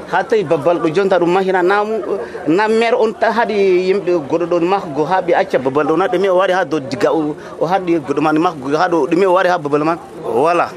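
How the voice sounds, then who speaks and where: A man who trades at an outdoor market, interviewed in the field and speaking with hesitant pauses. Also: high voice. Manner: hesitant, halting talk.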